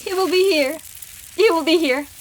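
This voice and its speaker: A woman's voice: very high voice, moderate pace, slight background noise. A young woman playing a frightened daughter in an audio drama, urgent and pleading. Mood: gently soothing. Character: frightened young daughter calming her distraught mother.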